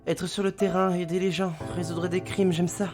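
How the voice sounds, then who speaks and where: A woman's voice: lowish voice, little pitch movement, moderate pace, a little mellow, faint music behind, natural voice. Woman playing an earnest, dedicated investigator. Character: earnest, dedicated female investigator with special powers. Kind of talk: French audio drama acting.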